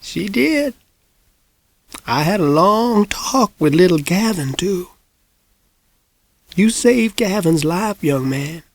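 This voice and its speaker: A man voicing a frail, folksy woman of a hundred and six, with a quavering, breathy Southern drawl and a sly warmth. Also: high-pitched, expressive, pitched above the performer's own voice, heavily acted. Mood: warm, earnest. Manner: slow, drawling country speech.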